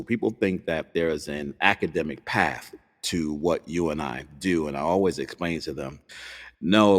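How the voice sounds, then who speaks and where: Very deep-voiced male podcast host. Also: big-room sound. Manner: earnest, frequent fillers. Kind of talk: interview conversation. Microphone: studio microphone on video call.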